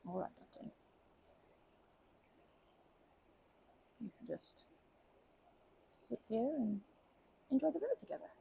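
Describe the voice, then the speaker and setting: Woman acting a creaky, husky kindly grandma. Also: a little high, highs cut off, exaggerated voice. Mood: tender, encouraging. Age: older. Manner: gentle, hesitant, soothing, uses pet names. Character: kindly, chatty, protective grandma comforting a crying stranger. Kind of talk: ASMR comfort roleplay.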